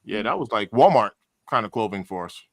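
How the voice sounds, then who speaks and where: A man's voice: lowish voice, animated, a little fast, dry recording, clean studio sound. A man with a New York accent chatting casually on a livestream, emphatic and slangy, often repeating himself for effect. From Queens, New York, USA. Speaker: podcast panelist.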